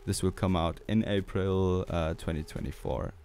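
A young man in his twenties from Austria with an Austrian German accent, walking through a music tutorial in a relaxed, hesitant way with many fillers, on a studio mic in a small home studio. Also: sits low, normal speed, a little hiss or hum. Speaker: music producer. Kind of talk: tutorial walkthrough. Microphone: studio mic on boom arm with headphones.

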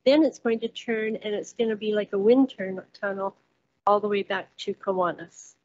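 A woman's voice: mid-range voice, steady tone, normal speed. Hesitant woman reading notes at podium. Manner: hesitant, reads from notes with many ums. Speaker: resident speaking at public hearing. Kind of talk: public comment at council meeting. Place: council chamber, standing at podium. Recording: dull muffled council chamber recording.

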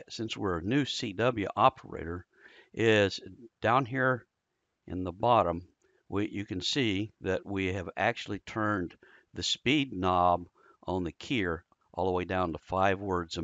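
A man's voice: deep voice, fairly bright tone, dry recording, muffled recording. An American man giving a casual screen-recorded tutorial, explaining informally step by step. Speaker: amateur radio instructor.

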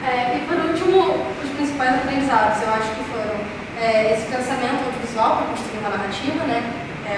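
A young woman, presenting as a student in a classroom. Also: on the high side. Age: young adult. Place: classroom, indoors. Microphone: distant camera microphone. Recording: echoey room from far off, noisy.

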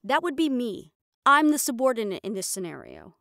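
A woman's voice: on the high side, big pitch swings, normal speed, gravelly, a bit bright, no room sound, studio quality, exaggerated voice. A woman playing a mafia boss's proud, frustrated personal assistant in an intimate roleplay audio drama, with an exasperated, self-reproachful manner. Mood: self-blaming, frustrated.

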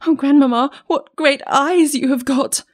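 Woman as a high-pitched, innocent little girl. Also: wildly swooping pitch, normal speed, darkish tone. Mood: amazed.

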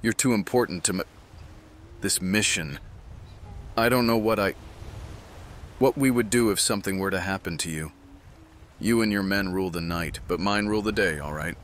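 A man's voice: sits low, moderate pace, long pauses, fairly bright tone, slight background noise. A man playing a brave young Roman soldier who takes charge with urgent orders in an audio drama. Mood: firm, tender. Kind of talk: audio drama roleplay acting.

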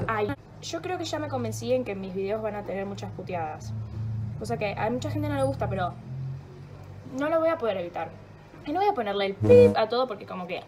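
A woman's voice: medium pitch, background noise. Chatty stuffy-nosed Argentine young woman. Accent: Rioplatense Spanish. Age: young adult. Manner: chatty, rambling and self-mocking, swears freely. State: sore throat and stuffy nose. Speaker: vlogger. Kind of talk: casual vlog chat. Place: home kitchen, indoors.

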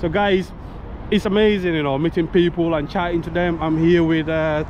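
A man's voice: on the high side, steady tone, moderate pace, dull audio, noisy background. A man vlogging outdoors, chatting with people he meets on the street in a friendly, casual way. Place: outdoors on a town promenade.

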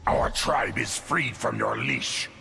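An actor playing a savage, defiant monster warlord in a video game, with a growling, blunt, menacing delivery. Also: male voice, slow pace, music in the background. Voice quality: deep gravelly growl. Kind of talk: video game character dialogue. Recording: loud noise behind voice.

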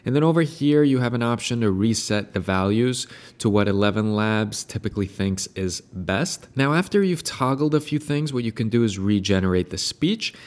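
Upbeat man guiding a software tutorial. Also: lowish voice, lively intonation, moderate pace. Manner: upbeat, explanatory, eager. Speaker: tutorial host and AI consultant. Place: indoor room in front of a painted brick wall.

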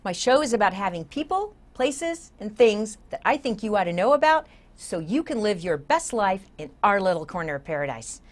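A woman's voice: medium pitch, big pitch swings, normal speed. Effusive older woman hosting. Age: older, 60s. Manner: effusive, emphatic. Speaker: talk show host. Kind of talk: conversational show hosting. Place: TV studio set, seated.

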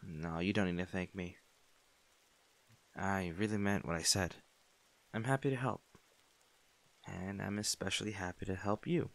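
Man playing a low-voiced, caring young barista. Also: steady tone, normal speed, vocal fry, long pauses. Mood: caring, reassuring. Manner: soft-hearted, reassuring, hesitant. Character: kind young male barista, caring, shyly smitten. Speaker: audio roleplay voice actor. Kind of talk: intimate comfort audio roleplay, natural conversational acting.

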